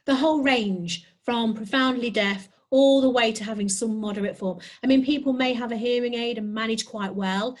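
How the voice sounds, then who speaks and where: A woman's voice: fairly high pitch, moderate pace, hardly any pauses. A middle-aged woman, a sign language interpreter, talking openly as a podcast guest from home. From United Kingdom. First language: British Sign Language. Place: home, lockdown remote call.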